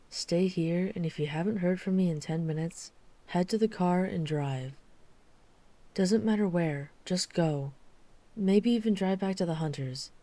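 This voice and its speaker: A woman playing a guarded, weary vampire hunter who is herself a vampire, speaking in a measured, serious way in an ASMR roleplay. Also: on the low side, dark tone, lightly acted. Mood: grave, resolute. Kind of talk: ASMR roleplay audio drama acting.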